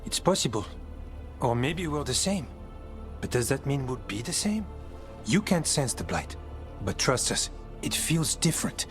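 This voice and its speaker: An actor playing an earnest, hopeful warden with a sense of wonder in a fantasy video game. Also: male voice, mid-range voice, very animated, measured pace. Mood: earnest, reflective. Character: earnest, hopeful male warden who cherishes nature. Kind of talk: video game dialogue acting. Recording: game music underneath.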